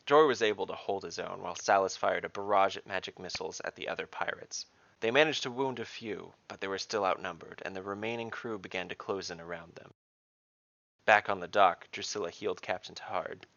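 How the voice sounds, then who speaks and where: A man hosting a podcast, reading scripted fantasy narration in a measured, dramatic storytelling manner. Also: low voice, creaky, a bit bright, no echo, muffled recording.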